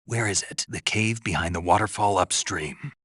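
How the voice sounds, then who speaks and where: A man playing a gruff, raspy special forces soldier in a video game, stoic and dryly naive, with a terse, deadpan delivery. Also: low voice, moderate pace, no echo, studio quality. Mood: terse, focused.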